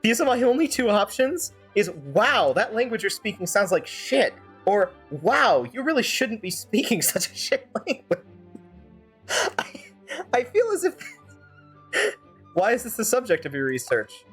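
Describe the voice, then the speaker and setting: Animated game streamer with music behind. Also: medium pitch, measured pace, slightly rough. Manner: animated, rambling, sardonic asides. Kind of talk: playthrough commentary with fan-dub character reading.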